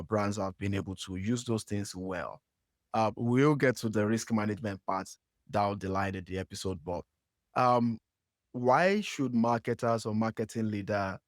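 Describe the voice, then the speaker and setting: A man's voice: fairly low pitch, no room sound, clean studio sound. A man with a Nigerian accent hosting a marketing podcast, asking long questions with lots of "uh" and restarts. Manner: hesitant, many fillers and restarts when asking questions. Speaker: podcast host. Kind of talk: conversational interview.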